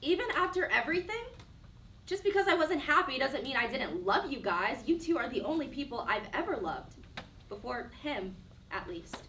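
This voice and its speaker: A woman's voice: high voice, sing-song, moderate pace, sharp tone, exaggerated voice. Actress as a defensive young woman in echoey room. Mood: wounded, pleading. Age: young adult. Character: defiant, wounded 23-year-old woman, defensive and yearning. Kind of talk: one-act play dialogue. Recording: roomy echo, distant mic, faint noise.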